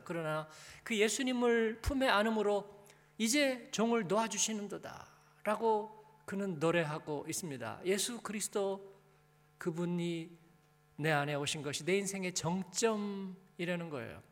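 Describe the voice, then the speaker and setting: A man with a high-pitched voice preaches in a large hall with long reverb. He speaks as a pastor in a conversational manner, putting rhetorical questions to the congregation and prompting them with short tag questions. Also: expressive, normal speed.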